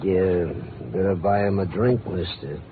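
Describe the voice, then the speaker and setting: An actor playing a gruff, weary bartender in a 1950s radio drama. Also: male voice, low-pitched, fairly even intonation, a little slow, background noise. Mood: dry, laconic. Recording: tinny vintage radio, music behind.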